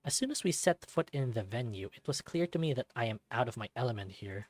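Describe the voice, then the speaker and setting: A man's voice: medium pitch, expressive, fast talker, dry recording. Man narrating, close dry sound. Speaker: visual novel narrator and reader. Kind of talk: expressive scripted first-person narration.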